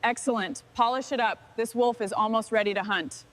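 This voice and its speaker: A woman's voice: a little high, moderate pace, noisy background. A woman giving short, scripted work instructions as part of a restoration crew. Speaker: workshop crew member directing truck restoration.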